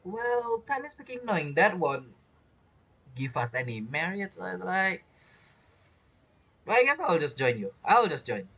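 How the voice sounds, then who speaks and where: Lively, playful gameplay streamer. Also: mid-range voice, unhurried, long pauses. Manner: playful, rambling. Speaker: game streamer. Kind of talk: casual gameplay commentary. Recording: faint game music behind the voice.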